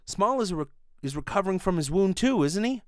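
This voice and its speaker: A man voicing an earnest, excitable, chummy police sidekick in an old-style radio play. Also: sits high, brisk, no room sound, studio quality, voice pushed up. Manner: gee-whiz, chummy. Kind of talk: old radio serial acting.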